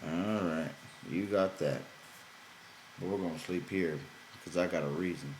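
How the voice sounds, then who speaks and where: A man voicing a gruff, bossy ranch hand in an exasperated rustic dialect for an audiobook. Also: low-pitched, unhurried, a little mellow. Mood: matter-of-fact. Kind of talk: character voice in audiobook reading. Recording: roomy echo, background noise.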